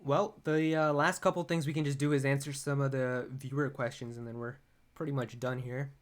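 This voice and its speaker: A man hosting a casual gaming podcast, reading viewer questions in a relaxed, laid-back way. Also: mid-range voice, brisk.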